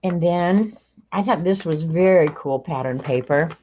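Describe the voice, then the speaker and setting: Talk it through slowly and easily, as a woman in her sixties chatting to your stream, lower in your voice, half thinking aloud with little laughs. Keep it relaxed and unhurried. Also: a little hiss or hum. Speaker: streamer opening mail on stream. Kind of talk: casual livestream chat. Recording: slightly dull lo-fi stream audio.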